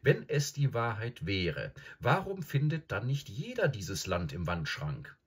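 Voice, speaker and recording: A skeptical young schoolboy acted by a man, lifted in pitch with an animated melody. He is polite and earnest, puzzling things out in a storybook tale. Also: a little slow, breathy edge, full character voice. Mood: skeptical, insistent. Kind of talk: audiobook character acting.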